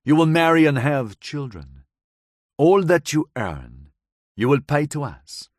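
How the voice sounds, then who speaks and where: A man's voice: fairly high pitch, big pitch swings, unhurried, no room sound, clean studio sound, voice pushed up. A man voicing a hardened vengeful woman. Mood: grim storytelling. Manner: foreign-tinged broken English, bitter and intense. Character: hardened vengeful woman recounting her past.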